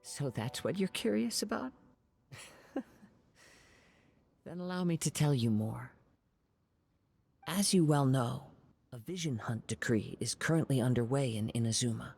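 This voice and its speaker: Young wandering swordsman for a game: breathy, formal, contemplative, gently courteous, over background music. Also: medium pitch, animated, heavily acted. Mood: pensive, solemn. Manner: formal, polite, pensive, measured. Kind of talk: scripted video game character dialogue.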